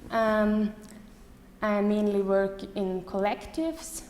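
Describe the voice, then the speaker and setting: A woman's voice: mid-range voice, little pitch movement, takes it slowly, darkish tone. German lady giving a talk, lots of ums, echoey lecture hall, bit of room noise. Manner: hesitant, many ums and restarts. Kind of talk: live lecture to an audience.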